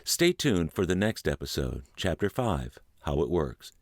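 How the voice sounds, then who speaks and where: A middle-aged man reading aloud as scripted audiobook narration, in an earnest, measured and expressive manner. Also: extremely low pitch, no echo, studio quality.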